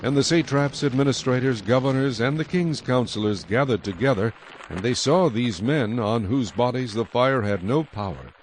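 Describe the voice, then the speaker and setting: A male narrator reads scripture as part of a dramatized production. His voice sits at a middle pitch. Noisy ambient effects are clearly heard behind him. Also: moderate pace.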